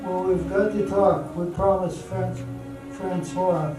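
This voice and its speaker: A man's voice: sits high, level tone, slow pace. A man acting as an eager, nervous suitor in an amateur radio drama, earnest and hesitant. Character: eager, nervous young suitor courting a woman. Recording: very echoey hall from far off, music.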